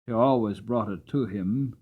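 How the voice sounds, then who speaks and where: A man narrating a storybook from a script in a dramatic, expressive, measured storytelling manner. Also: fairly low pitch, husky, phone-quality sound. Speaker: audiobook narrator.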